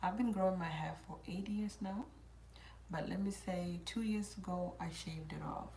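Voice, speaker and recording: A woman with an East African accent hosts an informal livestream in a relaxed, slightly low voice. She talks conversationally, as if answering people in a chat. The room adds a clear echo around her words. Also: moderate pace. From South Sudan, grew up in Kenya. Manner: informal, switches between English and Swahili. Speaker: host of a hair channel. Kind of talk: casual livestream chat answering viewer questions. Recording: roomy echo, faint background noise.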